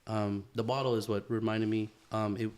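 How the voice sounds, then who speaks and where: A man's voice: on the low side, flat delivery, moderate pace, darkish tone. A man co-hosting a podcast, talking in a heartfelt, rambling way and swearing casually. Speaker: podcast co-host. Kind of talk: casual chat.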